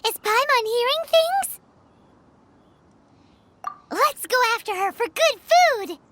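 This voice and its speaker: A woman voicing a tiny, excitable, greedy fairy companion with a childlike squeaky voice in a video game. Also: slow, heavily acted. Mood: eager. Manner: excitable, refers to self in third person.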